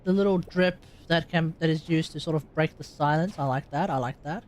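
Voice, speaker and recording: A woman's voice: sits low, brisk, darkish tone. A woman with an Australian accent doing casual live game commentary to chat, informal and rambling, often repeating herself. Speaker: game livestreamer.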